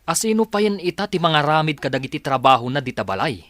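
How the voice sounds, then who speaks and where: A man's voice: on the high side, big pitch swings, fast, fairly bright tone, dry recording. A man acting as a startled adult son and unfaithful husband in a radio-style drama, questioning and agitated. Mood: startled, uneasy.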